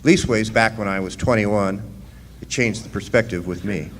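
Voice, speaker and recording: A man's voice: on the low side, moderate pace, close to an everyday voice. A man as a wry miner in an echoing hall. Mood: reflective narration. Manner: folksy, wry. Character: wry, easygoing drifter miner, narrating his youth. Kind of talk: live original radio drama. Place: live performance in a resort hall. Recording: echoing hall, faint noise, live stage.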